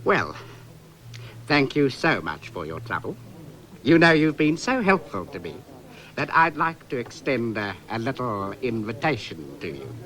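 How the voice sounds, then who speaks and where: A man's voice: medium pitch, big pitch swings, a little slow, fairly bright tone, rough recording. A man with a South African accent playing an exasperated, sarcastic shop customer in a 1970s radio comedy sketch. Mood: sweetly sarcastic. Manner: polite but seething, builds to frantic outbursts.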